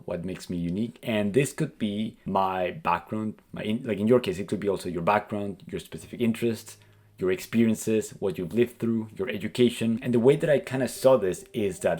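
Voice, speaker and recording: A man's voice: fairly low pitch, darkish tone. A man from South America with a South American accent, earnestly explaining his plans in a casual vlog, peppered with "like" and "kinda". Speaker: YouTube creator and business coach. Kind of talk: casual talking-head vlog.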